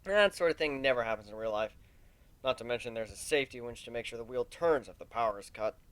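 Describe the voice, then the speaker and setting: A man's voice: medium pitch, lively intonation, quick pace, a bit bright, pitched below the performer's own voice, natural voice. A man voicing a wry, teasing, fond male pegasus pony in a story reading.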